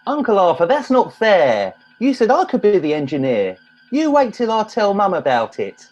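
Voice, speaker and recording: A man's voice: high-pitched, wildly swooping pitch, normal speed, darkish tone, highs cut off, soft background music, heavily acted. A man playing a whiny, eager, naive teenage lad in an amateur comedy radio play. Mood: sulky, whining.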